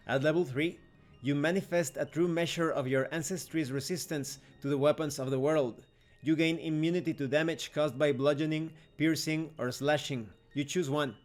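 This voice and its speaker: A man with a slightly high-pitched voice hosts an explanatory review. He speaks in an orderly, instructional way, stepping through the material point by point. Soft music plays underneath his narration. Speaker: game reviewer, channel host. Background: background music.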